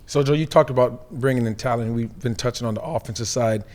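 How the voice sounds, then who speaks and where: A man's voice: mid-range voice, fast, a little mellow. A man co-hosting a sports podcast, asking long rambling questions. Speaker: co-host, former player.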